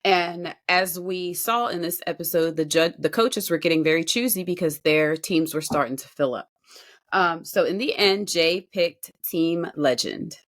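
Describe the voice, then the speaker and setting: A woman's voice: on the low side, moderate pace. A woman co-hosting a podcast with casual reaction commentary, emphatic and building her points through repeated phrases. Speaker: podcast co-host.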